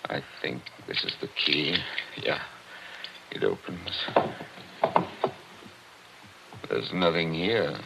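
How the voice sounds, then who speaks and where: An actor playing a skeptical, methodical older man haunted by his past, deliberate and hesitant, in 1940s radio drama style. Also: rumbling low, vocal fry, heavily acted. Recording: faintly noisy old radio recording.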